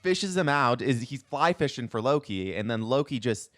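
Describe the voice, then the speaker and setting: A man's voice: mid-range voice, lively intonation, moderate pace, a bit bright, no room sound, clean studio sound. A man co-hosting a podcast, chatting casually about pop culture in a rambling way full of filler words. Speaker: podcast co-host.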